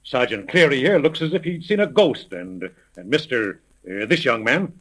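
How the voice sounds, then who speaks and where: A man's voice: medium pitch, expressive, normal speed, a bit bright. An actor playing an imperious, impatient rich businessman in a 1930s radio drama, speaking formally and emphatically. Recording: vintage 1930s radio recording.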